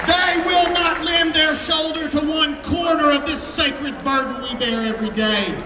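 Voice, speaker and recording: An American man delivers impassioned political oratory as a school superintendent at an outdoor rally, declaiming emphatically and projecting loudly with dramatic phrasing. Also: extremely high pitch, steady tone, a little slow. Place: outdoors on capitol steps before a large crowd. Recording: echoey outdoor PA, distant mic, noisy crowd. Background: loud crowd and ambient noise.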